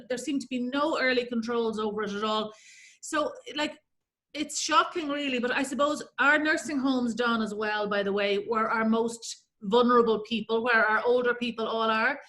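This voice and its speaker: An Irish woman with a fairly high voice, hosting a casual interview over a video call. She gushes with praise and runs her sentences together at length. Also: a bit bright. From Ireland. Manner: effusive, gushing with praise, long run-on sentences. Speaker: host.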